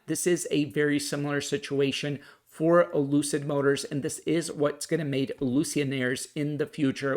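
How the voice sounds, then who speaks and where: A man hosting a stock market video, explaining and commenting in an earnest, emphatic way that circles back to key points. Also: fairly high pitch. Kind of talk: explanatory financial commentary to viewers.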